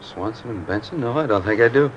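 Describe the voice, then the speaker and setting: A dull, faintly hissy vintage crime broadcast. A man plays a resentful American garage hand, blunt and defensive, talking quickly and pushing back. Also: medium pitch, expressive, lightly acted. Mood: guarded. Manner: blunt, combative. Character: defensive, resentful male garage worker and petty thief. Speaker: actor in a police radio drama. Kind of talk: mid-century American crime drama acting.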